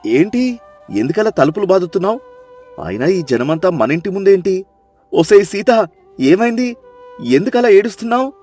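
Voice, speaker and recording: An actor playing an exasperated, bossy village husband who scolds impatiently in a moral story. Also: male voice, sits high, sing-song, moderate pace, long pauses, a little mellow, faint music behind. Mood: startled, annoyed.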